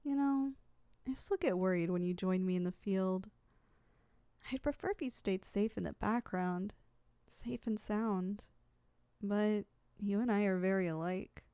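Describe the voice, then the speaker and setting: A woman's voice: medium pitch, unhurried, pauses often, dark tone, clear, resonant tone, no room sound, lightly acted. A woman playing a proud but easily flustered, lovesick young supervillain in an affectionate, dramatic ASMR roleplay. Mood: tender.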